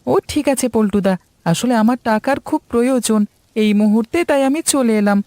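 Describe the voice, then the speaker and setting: A woman's voice: fairly high pitch, fairly quick, dark tone, no echo, studio quality, natural voice. A woman voicing an earnest, polite and hardworking poor village boy in a cartoon story. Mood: worried.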